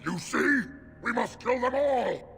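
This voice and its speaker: Casting: feral werewolf, fantasy game, high shouted snarl, very raspy, bloodthirsty, big reverb. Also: male voice, lively intonation, measured pace, soft background music, exaggerated voice. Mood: enraged. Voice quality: growling, raspy, gravelly. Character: savage, bloodthirsty male werewolf beast. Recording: heavy cavernous reverb.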